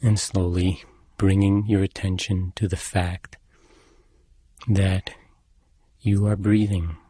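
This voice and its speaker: A man leading a guided meditation as an instructor, speaking in a calm, measured and gently instructing manner. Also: sits low, breathy edge, dry recording.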